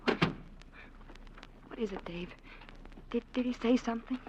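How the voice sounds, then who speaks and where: An actress playing a frightened young niece drawn into a murder, in 1940s radio-drama style, stammering and hesitant. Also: female voice, a little high, measured pace, darkish tone, dull audio, slight background noise, close to an everyday voice. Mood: fearful.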